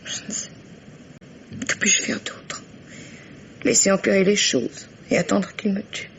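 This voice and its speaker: An actress playing a frightened, distressed wife in an old French radio mystery, speaking haltingly and repeating her words. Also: medium pitch, flat delivery, gravelly. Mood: pleading. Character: frightened, distressed wife fleeing a violent husband. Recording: dull, noisy vintage radio recording.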